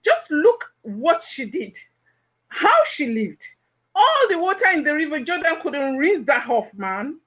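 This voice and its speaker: Woman acting a haughty, slow-talking biblical gossip. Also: sits high, sing-song, a bit bright, dull audio, exaggerated voice. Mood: indignant. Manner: condescending, disapproving. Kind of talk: audio play acting.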